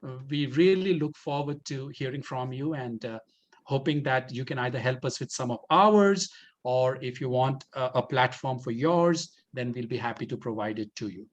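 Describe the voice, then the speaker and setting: A man presenting a community project in an informal question-and-answer talk, deliberately repeating phrases for emphasis. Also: medium pitch, dull audio.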